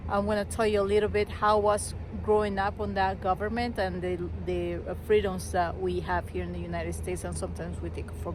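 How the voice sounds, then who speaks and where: A woman in her fifties, chatting loosely in bursts while she jogs outdoors. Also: mid-range voice. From Chile. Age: 50s. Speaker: vlogger host. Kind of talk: casual chat while running. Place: outdoors beside a highway. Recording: outdoor traffic noise.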